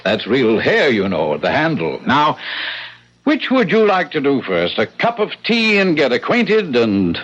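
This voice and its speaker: An actor playing a genteel older landlord in a 1970s radio drama, fussily polite and ingratiating with a faintly sinister edge and sly, hesitant, mannered phrasing. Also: male voice, medium pitch, wildly swooping pitch, unhurried, fairly bright tone, slight background noise. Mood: courteous, showy. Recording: muffled 1970s radio broadcast recording.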